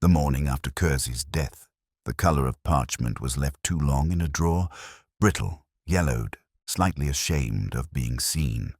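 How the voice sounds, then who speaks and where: Very deep-voiced man narrating a detective story. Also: lively intonation, a little mellow, breathy edge, no echo, clean studio sound. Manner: measured, reflective, melancholy storytelling. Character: world-weary, pensive male detective inspector. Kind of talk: literary first-person scripted narration.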